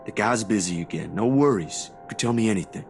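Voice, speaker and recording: A man's voice: lowish voice, expressive, fast, a little mellow, slight background noise. An actor in a story game playing a simple, caring man who talks in a plain, polite, reassuring way. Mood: uneasy. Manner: plain-spoken, reassuring, informal. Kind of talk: dramatic game dialogue.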